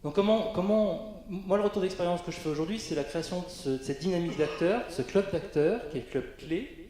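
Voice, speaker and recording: A man's voice: high voice, a little fast, warm tone. A man presenting on a conference panel, hesitant, with frequent fillers and restarts. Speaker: panel speaker. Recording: very echoey conference hall.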